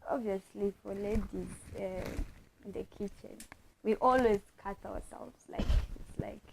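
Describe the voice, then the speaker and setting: A woman's voice: medium pitch, unhurried, vocal fry, a little mellow, a little hiss or hum, poor audio. A young woman from Rwanda, a student guest chatting casually on a talk show. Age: young adult. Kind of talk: casual chat.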